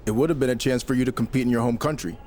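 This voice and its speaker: A man's voice: medium pitch, very fast, a little mellow, slight background noise, natural voice. A man playing a confident, quipping young wrestling trainee with a dry delivery, in a video game cutscene.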